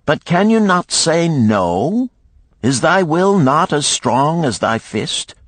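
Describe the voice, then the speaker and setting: A man's voice: a little high, big pitch swings, takes it slowly, no room sound, voice pushed up, full character voice. A man voicing a shrewd moneylender of ancient times in a storybook, with grand archaic phrasing and probing exclamations. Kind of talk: audiobook storytelling.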